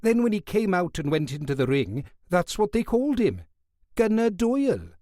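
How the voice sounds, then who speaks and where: Welsh guard, high and genial, gossipy; a male reader doing the voice. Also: very animated, warm tone, no room sound, clean studio sound. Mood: enthusiastic. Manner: genial, chuckling, quick to talk. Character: chatty Welsh prison guard, boxing fan.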